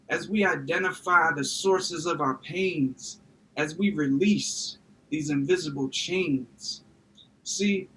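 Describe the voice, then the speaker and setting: High-voiced man performing slam poetry. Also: fairly bright tone, slight background noise, rough recording. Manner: rhythmic, emphatic spoken-word delivery. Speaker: slam poet. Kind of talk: rhyming slam poetry recitation.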